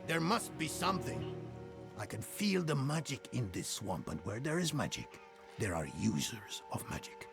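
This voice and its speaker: An actor playing a weary, earnest old scholar in a fantasy game, gravelly and halting. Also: male voice, medium pitch, sing-song, music in the background. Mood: persuasive. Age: older. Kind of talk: dramatic video game acting.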